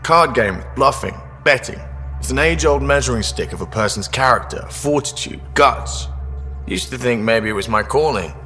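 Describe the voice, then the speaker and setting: A man's voice: mid-range voice, lively intonation, sharp tone. A man playing a roguish, easygoing space drifter in a video game, with a loose, teasing delivery. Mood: teasing, laid-back. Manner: loose, teasing, drawn-out phrases. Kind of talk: video game dialogue acting. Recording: long reverb, music behind.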